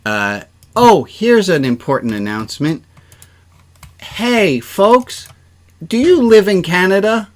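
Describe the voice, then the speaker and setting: A man's voice: high voice, big pitch swings, slow. A man speaking as a rabbi, giving chatty, informal announcements to an online congregation on a video call, with joking asides. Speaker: rabbi hosting an online service.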